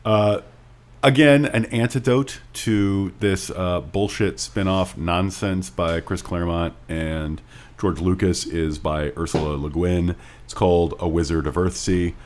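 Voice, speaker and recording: Very deep-voiced man chatting about books. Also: steady tone, unhurried, a little hiss or hum. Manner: opinionated, wry, casually profane. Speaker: podcast co-host. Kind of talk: casual book review chat.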